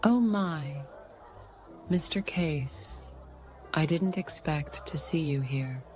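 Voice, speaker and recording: Woman doing a deep-voiced noir dame, secretive, slow and hesitant, faint music behind. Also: darkish tone. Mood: coy, surprised. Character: evasive 1940s femme fatale, secretive woman. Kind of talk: noir radio drama acting.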